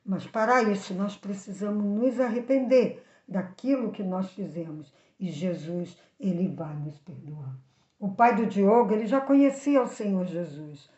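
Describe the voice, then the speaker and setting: Brazilian woman storyteller in an echoey room. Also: medium pitch. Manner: didactic, earnest, addressing the listener directly. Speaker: children's Bible storyteller. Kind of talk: moral storytelling with preaching for children. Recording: echoey room, slightly dull sound.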